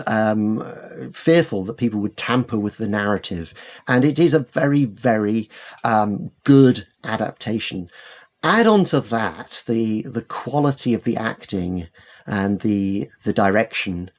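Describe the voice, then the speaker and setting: A man talks as a literary expert in a conversational interview. His delivery is halting, with hesitations and restarts, and he doubles words when he wants to stress a point. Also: on the low side, expressive, a little mellow. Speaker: interview guest, literary scholar and editor.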